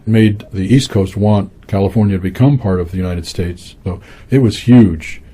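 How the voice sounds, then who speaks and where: Male curator, interview soundbite, dark and husky, quick relaxed talk. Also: low-pitched. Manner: relaxed explaining with fillers. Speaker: museum curator. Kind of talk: interview clip.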